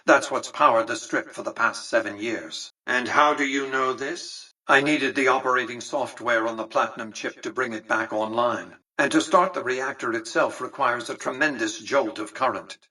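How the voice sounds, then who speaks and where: A calculating older tycoon from a video game, a man used to command. His voice is bright and forceful with a husky grain. He speaks formally and precisely, looking down on whoever he addresses, and sounds set somewhat back from the microphone. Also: mid-range voice, moderate pace, few pauses, recorded from a distance, poor audio. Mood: explanatory, dry. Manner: condescending, precise, formal, exacting. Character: imperious, calculating older male tycoon commanding a robot army. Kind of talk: scripted video game character dialogue.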